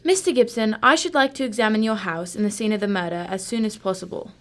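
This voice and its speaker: A woman's voice: medium pitch, fast, natural voice. A woman acting a shrewd, unflappable female detective in a radio drama, formal, composed and condescending. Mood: brisk, probing.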